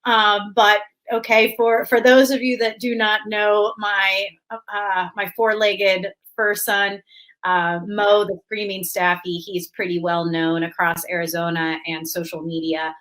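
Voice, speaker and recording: Bright-voiced woman guest, friendly. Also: mid-range voice, level tone, measured pace, rough recording. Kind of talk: casual online meeting chat.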